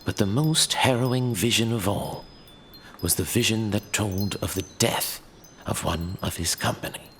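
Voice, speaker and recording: A man playing a learned old archivist who tells a fantasy legend in a grave, measured, theatrical way. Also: fairly low pitch, a bit bright, a little hiss or hum. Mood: grave, portentous. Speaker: narrator. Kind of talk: dramatic fantasy audio drama storytelling.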